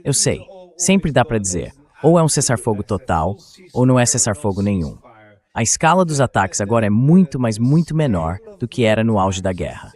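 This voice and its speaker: A man's voice: fairly low pitch, very animated, brisk, darkish tone. A man with a Brazilian Portuguese accent, a synthetic voice-over for a conversational news discussion.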